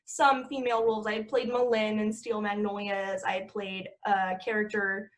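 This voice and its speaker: A woman's voice: mid-range voice, little pitch movement, normal speed. A woman in her twenties, a podcast guest and actress, talking casually with lots of filler words and easy laughter. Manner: chatty, heavy with "like" fillers, laughs easily. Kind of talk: casual conversation.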